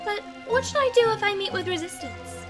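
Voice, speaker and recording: A woman's voice: very high voice, expressive, a little fast. A woman voicing a vain, brash female bounty hunter with a smug drawl in a game fan dub. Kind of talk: anime-style game fan dub acting. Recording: music behind the voice.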